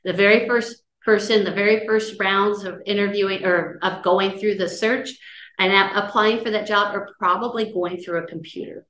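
A middle-aged woman with a loud voice giving a casual career-services talk, chatty and conversational, often checking in with her listeners. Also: mid-range voice, steady tone, moderate pace. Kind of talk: casual lecture on job searching. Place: office, indoors, on a video call. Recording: roomy video-call audio.

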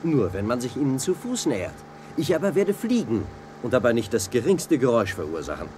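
Earnest, decisive young male adventurer, acted by a man, expressive range, 1980s audio drama, slight background noise. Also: mid-range voice, fairly quick, close to an everyday voice. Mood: determined. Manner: earnest, decisive, clearly enunciated. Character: bold, determined young male sci-fi adventure hero. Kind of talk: 1980s German radio play acting.

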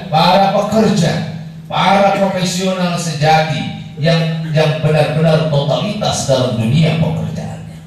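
A middle-aged man with an Indonesian accent giving a formal, measured and emphatic Friday sermon with Arabic recitation. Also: high voice. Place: indoor mosque prayer hall. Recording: very echoey hall, distant, faint noise.

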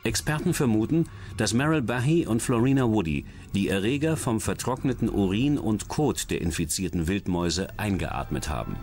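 Male narrator, low and expressive, measured dramatic documentary read, faint music underneath. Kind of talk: scripted true-crime science documentary narration.

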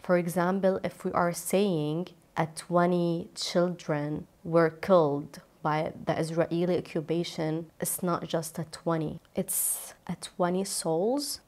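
A woman's voice: lowish voice, darkish tone. A young woman from Gaza speaking non-native English with a Palestinian Arabic accent, answering interview questions earnestly and explaining with examples. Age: 20s. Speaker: interview guest. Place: dark studio, indoors. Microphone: lapel mic.

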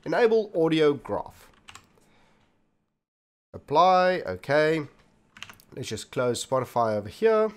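A man's voice: a little high, very animated, slow, long pauses, smooth. A man hosting a tech tutorial, giving step-by-step instructions straight to viewers as he demonstrates on his computer. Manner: instructional, addresses viewers directly. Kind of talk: scripted-feeling screen tutorial narration, step by step. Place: indoors at a desktop computer, voice recorded while demonstrating. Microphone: desktop computer microphone.

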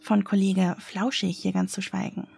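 An actress playing a wary, indignant young female survival contestant in a horror audio drama, with a breathy voice. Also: medium pitch, level tone, moderate pace, a little mellow, dry recording, soft background music, exaggerated voice.

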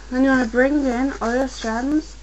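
Young woman, fairly high voice, slight cold, patient step-by-step instructions, faint hiss behind. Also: steady tone, dull audio. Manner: patient, repetitive instructions, slow and careful at times. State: getting a cold. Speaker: crafts tutorial maker. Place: at a home desk with a computer.

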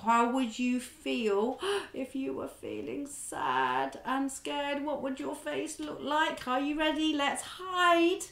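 A middle-aged woman leading a children's drama class and telling a story in an animated, expressive, encouraging way. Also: sits high, takes it slowly, vocal fry, few pauses, echoey room.

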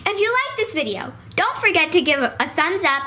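A perky girl puppet hosting a kids' talk show. The voice is extremely high, bright and lively, sweet and eager, in an echoey room. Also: normal speed, full character voice. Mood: cheery. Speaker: host. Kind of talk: children's puppet show presenting. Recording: roomy echo.

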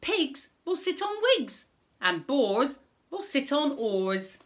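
Storybook frog, boastful and cheeky, high and lively, slow sing-song rhymes, woman putting him on. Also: heavily acted. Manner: slow, singsong rhyming delivery. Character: boastful rhyming male frog.

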